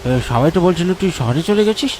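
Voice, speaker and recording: A man voicing a frightened village man, alarmed and panicky, in an animated horror story. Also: a little high, brisk, a little hiss or hum, pitched above the performer's own voice, lightly acted, rough recording. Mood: fearful. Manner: alarmed, fearful.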